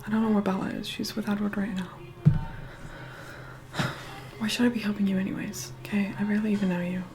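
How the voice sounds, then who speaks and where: A woman's voice: medium pitch, flat delivery, rapid-fire, close to an everyday voice. A woman playing a haughty, impatient immortal vampire in a whispered ASMR role-play, curt, condescending and bossy as she reluctantly helps. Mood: irritated. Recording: close whispered voice under loud music. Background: music.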